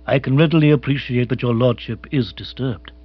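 A man voicing an imperturbable, deferential English manservant in a comic storybook, formal, measured, precise and deadpan. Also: medium pitch, husky, no room sound, lo-fi sound, close to an everyday voice. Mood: unruffled, deferential.

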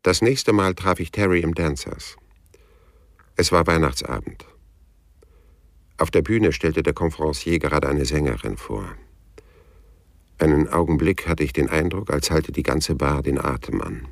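Hard-boiled male detective narrator for radio crime drama: gravelly, laconic, very dry close studio sound. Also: rumbling low, no room sound, heavily acted. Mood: sober, reflective. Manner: measured, sober, laconic. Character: hard-boiled male private detective recounting his story.

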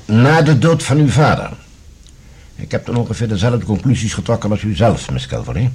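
Casting: stern security general for radio drama, low and very husky, formal, measured, courteous but unyielding. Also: male voice, sing-song, darkish tone, full character voice. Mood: measured, guarded. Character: composed, authoritative male general, head of security service. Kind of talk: Dutch radio drama acting.

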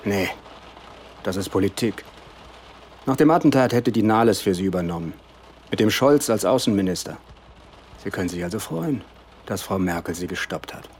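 A man's voice: fairly low pitch, expressive, moderate pace, long pauses, darkish tone, slight background noise, natural voice. An actor playing a cynical hired spy and hitman in a satirical radio play, speaking in a dry, matter-of-fact way.

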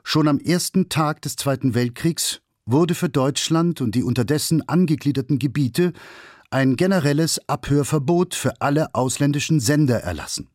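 A man reading a scripted documentary narration in a measured, factual and formally articulated way. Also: fairly high pitch, darkish tone, no room sound, studio quality.

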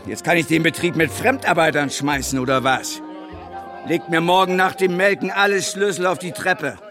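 An actor playing an older farm boss in a German radio drama, gruff and practical but caring. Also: male voice, a little high, moderate pace, music in the background, lightly acted.

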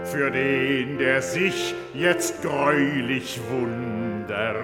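Slow, echoing macabre ballad singer. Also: female voice, extremely low pitch, exaggerated voice. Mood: macabre, mocking. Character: mocking, macabre ballad singer commenting on the tale. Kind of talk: sung verse commentary in German radio play. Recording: long reverb, loud music behind. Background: loud musical accompaniment.

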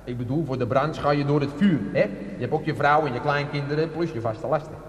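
A male actor plays an older used-car dealer in a comic radio play. His fairly high voice rings through a reverberant hall as he makes chatty, self-excusing confessions in salesman's patter. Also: brisk, a crowd in the background, natural voice. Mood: chatty, pleading. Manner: salesman patter with colloquial asides. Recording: echoey hall with long reverb.

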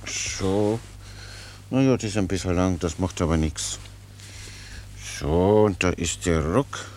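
An actor playing a naive, talkative, good-natured Czech soldier in a radio play, rambling on in a folksy, guileless way. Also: male voice, deep voice, slow pace, darkish tone. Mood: musing, curious. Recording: noisy radio play recording.

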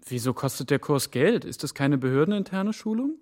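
A man's voice: on the high side, big pitch swings, brisk, a little mellow. An actor in a radio play as an earnest, questioning young male official.

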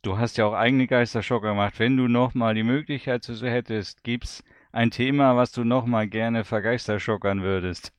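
A man's voice: medium pitch, fairly even intonation, moderate pace, hardly any pauses, clean tone, no room sound. A man asking questions as an interviewer in a casual, conversational style.

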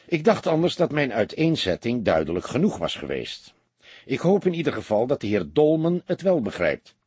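A man voicing a calm, wry, commanding older heavyset police detective in an audiobook, speaking tersely and with dry, polite firmness. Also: medium pitch, moderate pace, slightly rough, dry recording. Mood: dry, instructive. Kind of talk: audiobook character dialogue.

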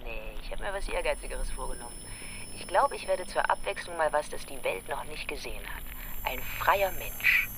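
A man's voice: fairly high pitch, expressive, moderate pace, background noise. An actor playing a flippant, ambitious man in a radio drama. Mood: playful. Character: flippant, ambitious man choosing a new shape.

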